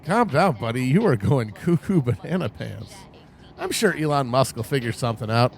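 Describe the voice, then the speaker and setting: Man acting oblivious cheery cabbie, folksy, easygoing, animated pitch, radio-play parody, light vehicle noise. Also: normal speed, creaky. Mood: blissfully content. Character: cheerful, placid, oblivious cab driver. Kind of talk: parody of old-time radio drama. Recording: car noise behind the voice.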